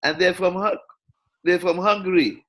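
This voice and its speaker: An older woman chatting warmly on a video call, encouraging and affirming, with frequent agreement. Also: lowish voice, normal speed. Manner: encouraging, affirming, many backchannels. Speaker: Toastmasters region advisor. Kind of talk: casual video call chat.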